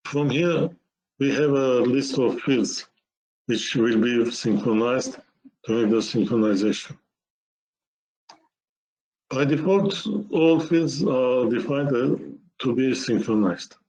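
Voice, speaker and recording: Slow-paced man demonstrating software. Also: mid-range voice, low-quality recording. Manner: halting, step-by-step explaining in short phrases. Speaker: software presenter.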